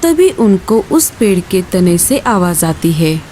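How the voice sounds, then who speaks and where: A woman's voice: on the low side, very animated, slow, warm tone, slight background noise. A woman storyteller reading a scripted children's story.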